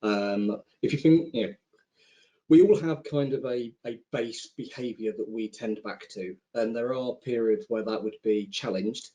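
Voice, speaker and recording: A man's voice: mid-range voice, a little slow, dark tone, low-quality recording. A man speaking as an investment panelist on a conference call, thinking aloud in a conversational, informal way. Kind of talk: conversational roundtable commentary.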